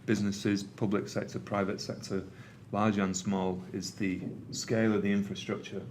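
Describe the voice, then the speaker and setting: Middle-aged Mancunian man, lowish voice, relaxed presenter with lots of ums, faint room ambience. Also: moderate pace, slight background noise. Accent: Manchester. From England. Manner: conversational, with frequent ums and uhs. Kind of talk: conference presentation. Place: meeting room with an audience.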